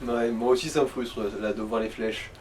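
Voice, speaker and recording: A man's voice: medium pitch, steady tone, moderate pace, darkish tone. A man giving casual gaming commentary, explaining things and guiding the player. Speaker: let's play co-commentator. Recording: distant mic, faint noise.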